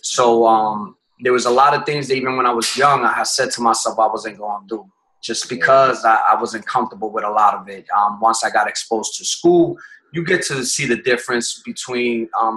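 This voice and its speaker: A man from Puerto Rico, a podcast guest telling his life story in a reflective way, often pausing and restarting his phrases. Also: lowish voice, sharp tone, rough recording.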